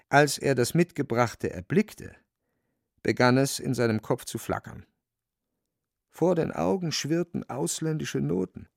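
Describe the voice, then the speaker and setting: A man narrating a literary radio play in a measured, wry manner. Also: medium pitch, creaky, no room sound, studio quality. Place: studio, very dry.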